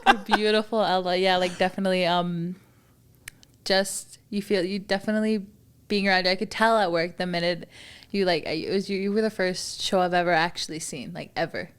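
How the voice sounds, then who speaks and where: A woman's voice: lowish voice, steady tone, brisk, smooth. A woman in her twenties from Manitoba, Canada, a podcast guest talking casually, hesitant with many fillers and frequent giggles. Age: 20s. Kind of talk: casual interview chat.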